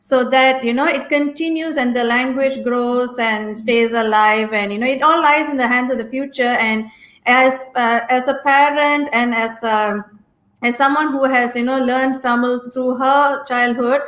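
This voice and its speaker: Female guest from Singapore, slightly high, earnest, echoey call audio. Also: fairly even intonation, a bit bright. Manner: friendly, earnest, measured answers. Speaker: guest entrepreneur. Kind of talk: casual interview.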